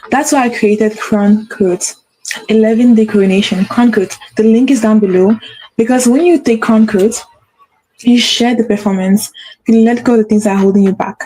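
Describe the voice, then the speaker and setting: A woman's voice: mid-range voice, mellow, rough recording. A woman hosting a motivational talk, speaking with a stutter and repeating phrases for emphasis. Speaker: host.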